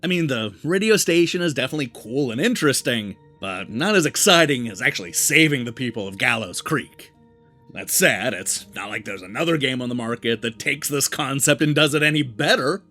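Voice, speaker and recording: Animated man reviewing games over music. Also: medium pitch, brisk. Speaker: video game reviewer and show host. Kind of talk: scripted review narration. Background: background music.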